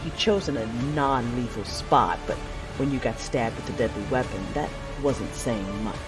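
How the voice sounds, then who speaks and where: A woman playing a proud, vengeful warrior, telling the story in intense, measured first-person narration for an audio drama. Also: rumbling low, over loud music, low-quality recording. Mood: intense, gritty. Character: proud, vengeful wounded warrior turning to the dark side.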